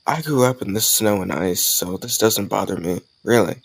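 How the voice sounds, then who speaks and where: A man playing an easygoing male college student who chats casually and teases gently in an intimate romantic audio roleplay. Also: lowish voice, normal speed, vocal fry, husky, dry recording. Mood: friendly, teasing. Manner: casual, conversational, gently teasing.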